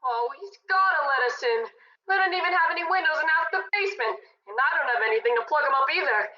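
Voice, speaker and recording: A woman acting a frantic, shrill-pitched begging neighbour. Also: expressive, fast, highs cut off. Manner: imploring, repetitive cries. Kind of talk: dramatic radio play acting.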